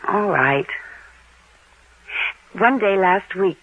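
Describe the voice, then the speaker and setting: A woman's voice: medium pitch, animated, drawn-out words, dry recording, exaggerated voice. An actress playing an evasive, flustered married American woman who hesitates and stammers, in old-time radio drama style. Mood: reluctant, flustered. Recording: narrow, telephone-like old radio recording.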